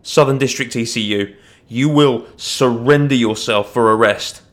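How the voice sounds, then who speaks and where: A man playing an earnest city watchman in a fantasy audio story, forceful and urgent in his appeals. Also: medium pitch, a little slow, natural voice. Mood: stern.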